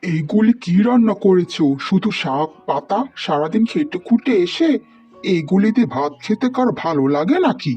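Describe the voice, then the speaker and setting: A man voicing a weary, complaining village uncle with a husky voice in an animated fairy tale. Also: sits high, normal speed. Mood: irritated. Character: weary, grumbling village uncle burdened by relatives. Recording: dull lo-fi audio with music.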